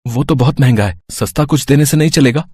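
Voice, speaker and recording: A man playing a harried young husband in an animated moral story, earnest and placating. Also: medium pitch, fairly quick, slightly rough, no echo, close to an everyday voice. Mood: placating, pleading.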